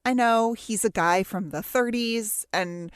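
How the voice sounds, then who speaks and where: A woman's voice: mid-range voice, unhurried, smooth, no room sound. A woman giving casual podcast commentary in a mid-range voice. She talks informally and conversationally, as a co-host would. The recording is dry, with hardly any room sound. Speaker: podcast co-host, TV show critic.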